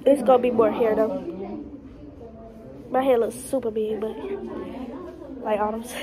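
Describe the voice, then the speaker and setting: A woman's voice: a little high, steady tone, unhurried, crowd noise. A young woman with a stuffed-up nose, chatting and joking as she vlogs her school day into a phone held at arm's length. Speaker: vlogger. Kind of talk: casual school vlog. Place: classroom.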